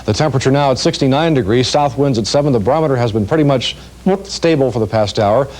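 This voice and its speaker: A man's voice: mid-range voice, fast, a little mellow. An American man presenting a television weather forecast in a chatty, partly improvised studio style.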